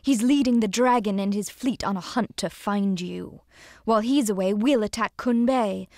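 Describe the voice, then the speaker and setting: A woman acting as a serious, composed war strategist in a game. Her voice sits at a medium pitch and is recorded dry and close. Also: normal speed, a little mellow, no echo, natural voice. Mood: briefing. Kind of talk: video game cutscene acting.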